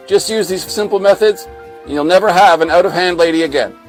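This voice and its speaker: A man reading scripted mock-instructional comedy in a deadpan, authoritative way. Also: high-pitched, a little fast, soft background music, low-quality recording.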